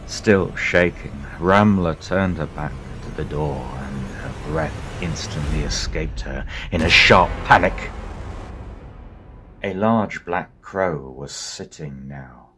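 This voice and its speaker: A man's voice: very deep voice, slow, a bit bright, slight background noise. A man narrating a dramatic scripted horror story in a theatrical, suspenseful manner. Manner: theatrical, suspenseful delivery with swelling intensity. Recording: music behind the voice. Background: music.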